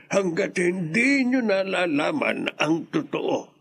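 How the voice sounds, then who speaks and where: An actor playing a mysterious old male stranger in a radio horror drama, speaking gravely and solemnly with a husky voice. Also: high-pitched, big pitch swings, slow pace, a bit bright, heavily acted. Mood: ominous.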